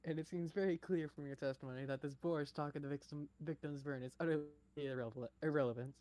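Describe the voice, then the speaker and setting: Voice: mid-range voice, steady tone, fast, darkish tone, a little hiss or hum. A player reading game dialogue aloud in a fawning, stumbling way.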